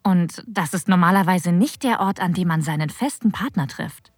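A woman playing a flirtatious, adventurous narrator of an erotic audio drama, with a breathy voice that often drops toward a whisper. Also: lowish voice, lively intonation, moderate pace, no room sound, clean studio sound. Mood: wry, fond. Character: flirtatious, adventurous woman telling her own erotic story. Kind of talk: intimate first-person scripted narration.

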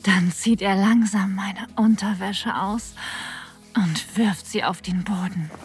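An actress playing an aroused woman who narrates an intimate audio drama in a breathy, sensual, partly whispered voice. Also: mid-range voice, steady tone, takes it slowly.

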